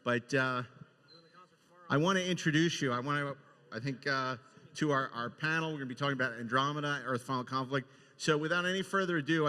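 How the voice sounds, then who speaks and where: A deep-reverb hall surrounds this lower-pitched-to-average male host. He speaks off the cuff with plenty of enthusiasm and praise. His delivery halts and restarts around fillers as he presents to listeners. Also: bright, forceful tone. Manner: enthusiastic, effusive. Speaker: podcast and convention panel host. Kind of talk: unscripted hosting and guest introductions. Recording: echoey hall with long reverb.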